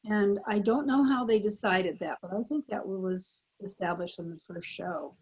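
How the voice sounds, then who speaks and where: An older woman talks casually about her past as a panel guest. Her voice is husky and a little worn, at an average pitch for a woman. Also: normal speed, darkish tone, low-quality recording. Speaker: panel guest, former child radio actress.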